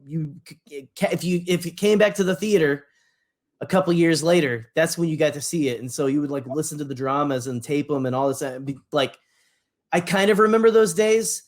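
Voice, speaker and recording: A man co-hosting a podcast in casual fan discussion, talking in a rambling, halting way and often rephrasing mid-sentence. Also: fairly high pitch. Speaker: podcast co-host.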